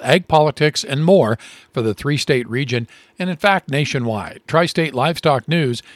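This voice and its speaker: Easygoing radio co-host. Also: male voice, mid-range voice, no echo. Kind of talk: radio show chat and read ads.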